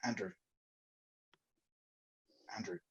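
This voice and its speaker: A man's voice: drawn-out words, fairly bright tone, dull audio. A man reading a comic story aloud live, playful and self-mocking, often breaking off into chatty asides. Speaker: comedy performer reading fan fiction aloud.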